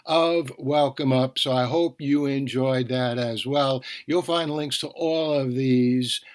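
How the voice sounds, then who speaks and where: A man's voice: mid-range voice, steady tone, slow. A man hosting a podcast, talking conversationally with rambling asides and self-corrections. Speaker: podcast host.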